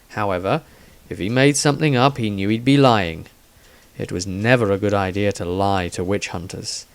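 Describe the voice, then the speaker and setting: Low-voiced man narrating fantasy. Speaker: author narrator. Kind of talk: scripted fantasy story narration.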